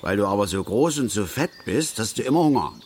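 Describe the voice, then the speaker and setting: Animated, aggrieved middle-aged angler. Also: male voice, on the low side, a little hiss or hum. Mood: lecturing. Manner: rambling, colloquial, halting asides, self-important. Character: aggrieved middle-aged male angler and family father, self-righteous, garrulous. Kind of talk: naturalistic German radio drama monologue and dialogue.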